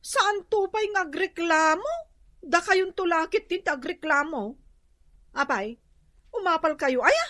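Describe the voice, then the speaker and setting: A woman's voice: very high voice, lively intonation, slow pace, long pauses, pushed and bright, exaggerated voice. A woman with an Ilocano accent playing a defiant, quarrelsome young wife in a radio drama, scolding and emotional. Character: sharp-tongued, quarrelsome young wife, defiant and self-pitying.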